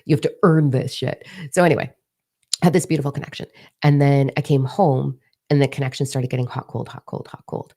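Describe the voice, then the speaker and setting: A young woman with a hoarse, partly lost voice, giving a casual spiritual talk and confiding freely with plenty of swearing. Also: rumbling low, fairly even intonation, brisk, dark tone. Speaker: spiritual teacher.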